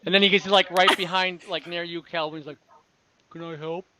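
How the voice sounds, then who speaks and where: A man's voice: sits high, steady tone, fairly quick, bright, forceful tone, a little hiss or hum, rough recording. A man narrating an online tabletop game as game master, hesitant and thinking aloud as he goes.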